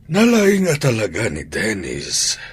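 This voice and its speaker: A man's voice: on the low side, huge pitch swings, measured pace, slightly rough, full character voice. A Filipino man with an Ilocano accent playing a concerned, chiding older father and grandfather in a radio drama.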